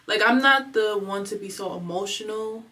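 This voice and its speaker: A woman's voice: mid-range voice, normal speed, bright, forceful tone, slight background noise. A woman in her twenties talking casually and candidly in a home vlog, rambling and slangy, emotional and fighting back tears. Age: 20s. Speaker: vlogger. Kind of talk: casual confessional vlog talk. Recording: voice a bit far from the mic.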